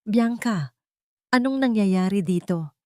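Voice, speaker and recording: A woman's voice: mid-range voice, animated, slow pace, a little mellow, dry recording, studio quality, voice pushed up. A woman voicing a cold, angry rich man in an audio story, stern and cutting, mixing Tagalog and English.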